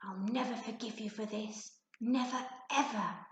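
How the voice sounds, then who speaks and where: A woman voicing an excitable, put-upon schoolboy in a children's storybook. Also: a little high, unhurried, reverberant, a little hiss or hum. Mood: bitter muttering. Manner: pleading, muttering. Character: schoolboy, desperate, embarrassed and miserable.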